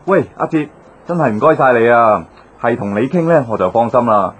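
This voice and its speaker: A mid-pitched male voice with faint background noise, an actor as a satisfied business client in a radio play. He is effusive, chummy and full of flattery. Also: brisk, natural voice. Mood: jovial, grateful. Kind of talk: Cantonese radio drama acting.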